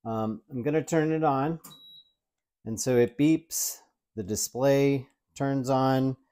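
A man's voice: mid-range voice, slow pace, mellow, smooth. Casual man demoing a kitchen gadget. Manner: chatty, explains while handling gadgets, frequent ums and restarts. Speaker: tech reviewer. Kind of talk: casual product demo. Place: home kitchen by an open window. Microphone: lapel mic.

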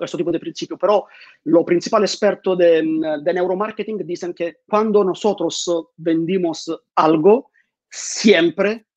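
A man's voice: fairly high pitch, a little mellow, no room sound. A man, giving an online conference talk in a room at home on a lapel mic, with many fillers and repeated words. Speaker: conference speaker. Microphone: lapel mic on a video call. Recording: dull dry home video call.

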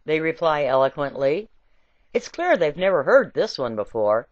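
A woman's voice: low voice, sing-song, moderate pace, no room sound. A woman reading a satirical essay aloud in a playful, theatrical storytelling manner, on a lo-fi recording. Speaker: reader of a written essay. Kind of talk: expressive scripted narration of a satirical essay. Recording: dull, lo-fi home recording.

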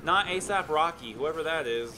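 A young man giving relaxed, chatty commentary on his own game stream, reading chat aloud and sounding a bit phlegmy. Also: on the high side, moderate pace, noisy background. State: phlegmy throat. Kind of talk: casual gameplay commentary. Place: indoor room at a desk. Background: music playing.

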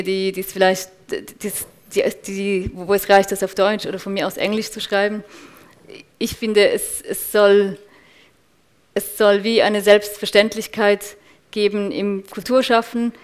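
Swiss woman, hesitant, thoughtful panel guest. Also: mid-range voice. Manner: thoughtful, many restarts and hesitations. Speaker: panel guest, culture officer. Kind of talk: panel discussion answers. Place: event room with large windows.

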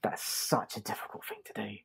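A man co-hosting a podcast in a casual review chat, speaking haltingly and repeating words as he searches for phrasing. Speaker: podcast co-host.

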